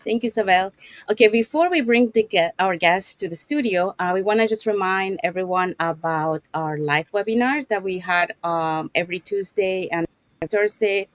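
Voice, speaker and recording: A woman's voice: medium pitch, moderate pace, dry recording. A woman from Panama hosting an online business talk show, introducing people with frequent "um" fillers. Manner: many "um" fillers, friendly introductions. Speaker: host.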